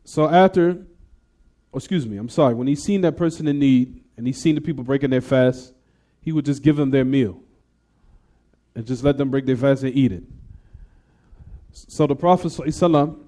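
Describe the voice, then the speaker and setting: A man teaching a hadith class. He keeps asking the room questions and answering them himself, and he recites Arabic quickly between plain explanations. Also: a little high, warm tone. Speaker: Islamic teacher.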